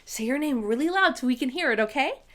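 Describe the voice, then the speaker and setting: A woman's voice: sits high, expressive, quick pace, a bit bright. A woman hosting a children's story time, reading a storybook and addressing young listeners directly with exclamations.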